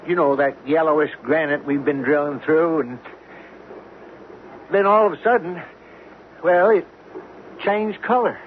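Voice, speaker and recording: An actor playing an uneasy, deferential oil rig worker in a radio drama, hesitant and earnest. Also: male voice, on the high side, unhurried, pauses often, natural voice. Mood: bewildered. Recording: muffled, faintly noisy old radio broadcast.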